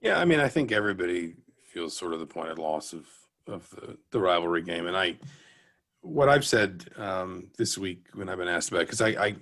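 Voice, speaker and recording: A man's voice: deep voice, expressive, moderate pace, fairly bright tone. An American man from Georgia answering as a guest sports writer, reflective and careful, often circling back mid-sentence. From Atlanta, Georgia, US. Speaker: guest sports reporter, newspaper beat writer. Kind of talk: interview answers, sports talk.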